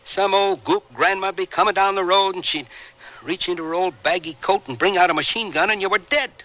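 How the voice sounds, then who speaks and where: An actor in a radio drama playing a bitter, haunted, volatile working-class Vietnam veteran with rough colloquial, defensive speech. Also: male voice, sits high, a little fast, bright, forceful tone, lo-fi sound. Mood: sardonic, agitated.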